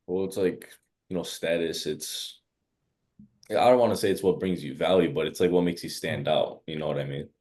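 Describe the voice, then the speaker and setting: A man's voice: deep voice, normal speed, a little mellow. A young man on a sales call answering hesitantly, filling his speech with "like.". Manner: hesitant, heavy use of "like". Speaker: sales prospect. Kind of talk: casual phone conversation.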